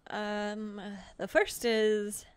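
A woman's voice: medium pitch, flat delivery, extremely slow, clear, resonant tone. A woman playing a tabletop game, chatting casually and playfully in character. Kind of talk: casual playful roleplay chat.

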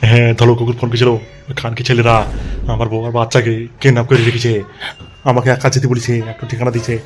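Guy doing angry cartoon politician boss, quick flat scolding, music behind. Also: medium pitch, a little hiss or hum, lightly acted. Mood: tense. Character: vengeful, irritable, foul-mouthed politician boss. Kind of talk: amateur comedy cartoon dub.

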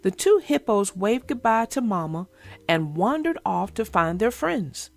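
A woman narrating a storybook for children in an animated, expressive way. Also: medium pitch, unhurried, vocal fry, soft background music. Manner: expressive, addressing listeners directly. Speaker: children's read-aloud narrator.

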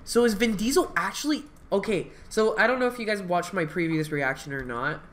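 A man's voice: sits high, lively intonation, a little fast, a bit bright. Exclamatory, slangy man reacting casually. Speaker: reaction video host. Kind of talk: excited live reaction, casual chat. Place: home room with kitchen, indoors.

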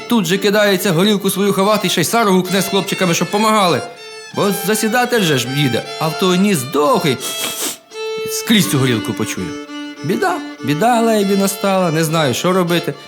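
A man telling a humorous folk tale in a colloquial, expressive, folksy manner. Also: high voice, fairly quick. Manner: colloquial, expressive, with chuckles and exclamations. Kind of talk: folksy humorous oral storytelling. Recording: music behind the voice.

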